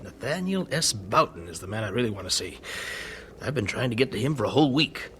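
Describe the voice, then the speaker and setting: An actor playing an earnest, genial 19th-century American evangelist in an audio drama, with a folksy, persuasive way of talking. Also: male voice, lowish voice, fairly quick, slight background noise. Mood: eager. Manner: animated, folksy storytelling.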